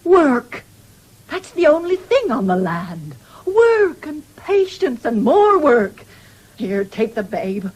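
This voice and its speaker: A woman's voice: high voice, very animated, slow pace, darkish tone, heavily acted. An actress playing a stern, caring older farm mother and grandmother in a radio play, plain-spoken and reproachful. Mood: stern, indignant. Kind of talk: 1970s BBC radio play acting. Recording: noisy old radio broadcast recording.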